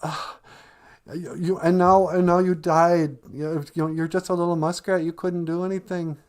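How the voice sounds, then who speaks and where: A man voicing a group of storybook water animals, speaking loudly and wailing in grief. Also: animated, measured pace. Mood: grieving. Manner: loud, high, wailing in grief. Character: group of water animals, doubting then grieving.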